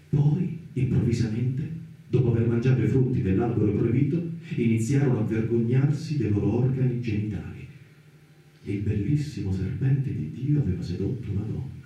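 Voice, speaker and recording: A man acting a brooding thinker in a radio-theatre monologue, with deliberate, rhetorical phrasing. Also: on the low side, mellow, lightly acted. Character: brooding male thinker questioning scripture and divine punishment. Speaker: actor in a radio theatre piece. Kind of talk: dramatic reflective monologue. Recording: very echoey hall, distant voice. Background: faint music.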